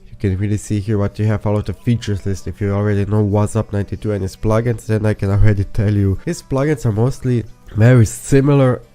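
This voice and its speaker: A man's voice: on the low side, fairly even intonation, fast talker, few pauses, dark tone, faint music behind. A young man who is a bit sick, walking through a game plugin in a rambling tutorial style with many restarts. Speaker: plugin reviewer. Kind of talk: casual tutorial walkthrough.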